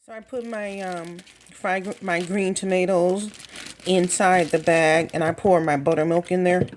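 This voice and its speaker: A woman's voice: fairly low pitch, steady tone, measured pace, a little hiss or hum. Chatty woman cooking at home. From Minnesota. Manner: chatty, folksy, talks straight to viewers. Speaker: cooking vlogger. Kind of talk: casual cooking demonstration. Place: home kitchen.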